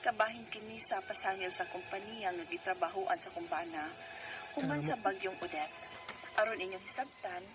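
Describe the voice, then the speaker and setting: A woman's voice: medium pitch, moderate pace, background noise, natural voice. A woman with a Cebuano Filipino accent acting a worried wife and mother in her thirties, telling her story in a radio drama. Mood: earnest, formal. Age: 30s. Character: Era, worried thirty-one-year-old wife and mother. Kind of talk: Cebuano radio drama letter narration and dialogue.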